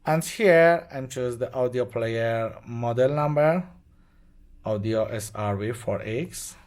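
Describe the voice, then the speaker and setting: A man who is not a native English speaker gives an unscripted step-by-step product tutorial in short, simple, halting phrases. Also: mid-range voice, a little mellow, clean tone. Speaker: product demonstrator. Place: indoors at a demo bench with devices.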